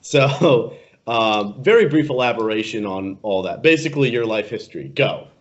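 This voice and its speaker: A young man hosts a relaxed sit-down chat, talking at an ordinary pitch and laughing easily between questions. He rephrases himself as he goes. The recording is muffled and lo-fi. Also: normal speed. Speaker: interviewer host. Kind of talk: casual interview.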